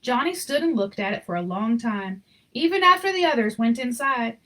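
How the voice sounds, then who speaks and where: Lady reading a kids picture book out loud, really animated, kinda high voice, chatty little asides. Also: normal speed. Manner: expressive, informal, chatty. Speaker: story reader.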